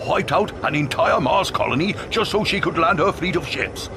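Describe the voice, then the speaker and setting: A man's voice: fairly high pitch, lively intonation, normal speed, gravelly, noisy background. A man playing a boastful, deferential military robot sergeant major in a sci-fi audio drama. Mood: boastful, chatty.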